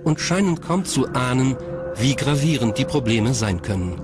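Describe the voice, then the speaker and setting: A man's voice: mid-range voice, animated, moderate pace, loud music behind the voice. A man reading scripted documentary narration as the narrator.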